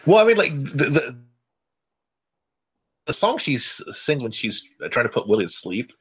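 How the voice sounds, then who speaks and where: A man co-hosting a casual chat about old cartoons, jokey and quick to break into silly sung bits. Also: medium pitch, very animated, a bit bright, slightly rough. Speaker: podcast co-host.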